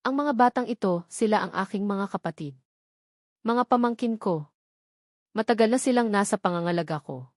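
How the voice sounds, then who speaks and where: A woman's voice: mid-range voice, a little mellow, no room sound, clean studio sound. A woman reading a scripted first-person romantic drama as a storyteller. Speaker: story narrator.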